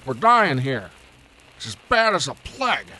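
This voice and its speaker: An actor playing a stern, demanding police commissioner in an old time radio drama. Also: male voice, a little high, very animated, unhurried, pushed and bright, full character voice, low-quality recording. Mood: urgent, grim.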